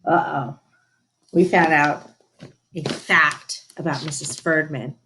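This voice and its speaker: A woman reading a children's story aloud in an expressive, emphatic, teacherly way, with asides to her listeners. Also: deep voice, slow, a little hiss or hum, rough recording. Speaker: storybook reader.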